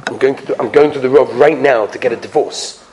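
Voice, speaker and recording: A stern wife as a male storyteller acts her out speaks in a lowered voice. She is indignant and insistent, laying down threats firmly, with some background noise. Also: sing-song, moderate pace, low-quality recording. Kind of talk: animated oral storytelling.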